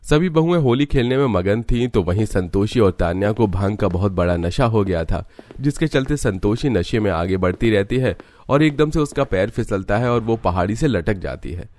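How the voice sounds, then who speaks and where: A man's voice: lowish voice, lively intonation, normal speed, darkish tone, dry recording. A man reading scripted storytelling narration for a cartoon tale.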